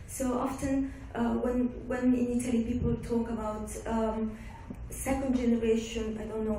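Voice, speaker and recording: A woman from Somalia, a guest author, answering audience questions in a halting way with many restarts and fillers. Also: on the high side, dark tone. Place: lecture room. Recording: echoey room, far-off mic, faint background noise.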